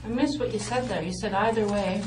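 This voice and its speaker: A woman questioning a suspect in a patient, steady, persuasive way, recorded in a police interview room. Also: medium pitch, a little fast. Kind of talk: calm interrogation. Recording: roomy, distant interview-room audio with noise.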